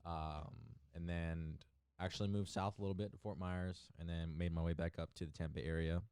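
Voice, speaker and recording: Deep-voiced young man hosting a casual podcast. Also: little pitch movement, brisk, a little mellow, clear, resonant tone, no echo, studio quality. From Florida. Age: 20s. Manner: loose and joking, leads the round of introductions. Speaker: podcast host. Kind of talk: casual chat.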